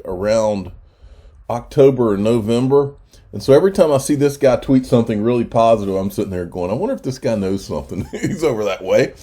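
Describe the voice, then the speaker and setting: A man's voice: medium pitch, warm tone. A congested man with a cold, hosting his own channel in rambling, chatty commentary. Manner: rambling, chatty, restarts often. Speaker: crypto channel host. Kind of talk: casual commentary.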